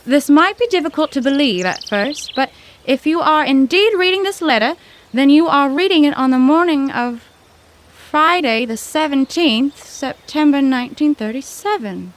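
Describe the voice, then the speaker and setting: An actress playing a plucky, impatient young woman adventurer in a 1930s radio mystery, brisk and bossy. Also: high-pitched, lively intonation, reverberant. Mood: grave reading. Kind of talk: 1930s radio drama acting.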